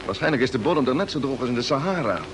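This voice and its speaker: An actor playing a steady, resolute expedition commander in an old Dutch radio drama, explaining things patiently and firmly. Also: male voice, medium pitch, racing, lightly acted. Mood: patient, explanatory. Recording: noisy old radio recording with ambience.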